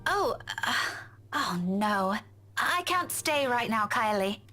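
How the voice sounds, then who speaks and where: An actress playing a kind, apologetic young schoolteacher in a visual novel game. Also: female voice, on the high side, big pitch swings, takes it slowly, sharp tone, soft background music, full character voice. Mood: flustered.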